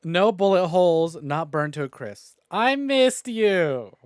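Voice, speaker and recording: A man's voice: sits high, expressive, slow pace, clear, resonant tone, no echo, studio quality. A man streaming a visual novel live, commenting on the game as it plays.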